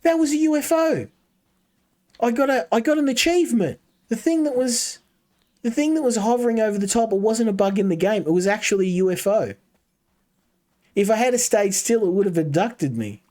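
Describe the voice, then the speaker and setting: A man's voice: sits high, expressive, normal speed, darkish tone. A man streaming a game, chatting casually and rambling while talking to his chat. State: coming down with a cold.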